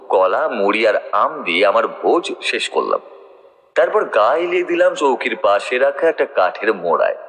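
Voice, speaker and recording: A man with a low, animated voice narrates a scripted ghost story in the first person. Clear ambient noise runs behind his voice. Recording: noisy nature ambience behind voice.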